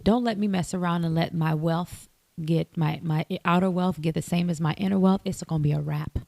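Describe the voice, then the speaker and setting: A woman guest on a podcast, telling stories in an animated way and laughing often. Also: lowish voice, a little fast, gravelly, no echo. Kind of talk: casual podcast conversation.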